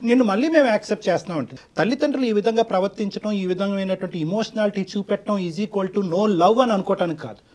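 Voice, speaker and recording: Fast, high-pitched man counselling. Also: a little mellow. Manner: emphatic, long-winded, mixes in English phrases. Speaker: counsellor on a talk show. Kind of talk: earnest counselling advice.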